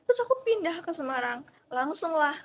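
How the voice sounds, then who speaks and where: A young woman from Central Java, chatting in a relaxed way about moving for university. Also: sits high, fairly quick. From Purbalingga, Central Java. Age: young adult. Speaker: co-host, university student. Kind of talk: casual chat.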